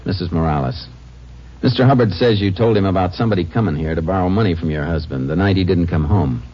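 An actor playing a calm, skeptical lawman with a terse, authoritative manner in a 1950s radio drama. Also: deep voice, quick pace, slightly rough. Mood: skeptical, firm. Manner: terse, matter-of-fact. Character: calm, skeptical, authoritative Texas Ranger investigator. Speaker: lead character and story narrator. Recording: dull, hissy old radio recording.

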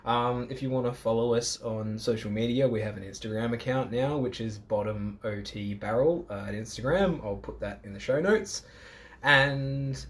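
Chatty Australian man hosting a podcast. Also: mid-range voice. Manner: jokey, chatty, self-mocking. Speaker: podcast host. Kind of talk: casual chat.

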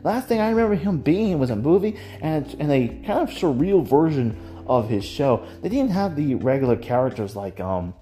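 A host talks alone in a mellow, relaxed tone at a middling pitch. The delivery is casual and rambling, hesitant and circling back over phrases. Music plays faintly behind the voice. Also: normal speed, hardly any pauses. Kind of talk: casual rambling solo commentary.

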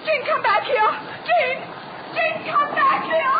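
Fussy middle-aged chaperone, extremely high voice, proper, exclamatory, radio play, loud noise on recording. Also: female voice, measured pace. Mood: flustered, indignant. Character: prim, flustered old-maid chaperone of young ladies. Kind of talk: old-time radio drama acting. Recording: muffled, very noisy old radio recording.

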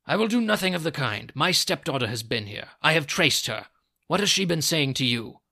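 Male narrator as huge old man, villainous, snarling, loud blustering threats, forceful and sharp, storybook character. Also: mid-range voice, animated, moderate pace, creaky. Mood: angry demanding. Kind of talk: dramatized audiobook reading.

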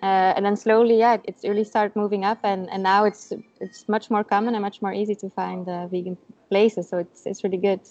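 A woman's voice: mid-range voice, little pitch movement, fairly quick, a little mellow, highs cut off. A Belgian woman whose first language is Dutch, chatting casually as a guest over a video call, with lots of fillers and laughs.

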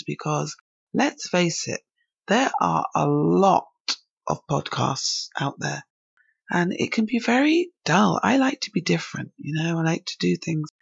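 Woman from england musing on her podcast, gravelly and a bit husky, dull lo-fi sound. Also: low voice, no room sound. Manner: reflective, thinking aloud. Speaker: podcast host. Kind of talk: solo podcast monologue.

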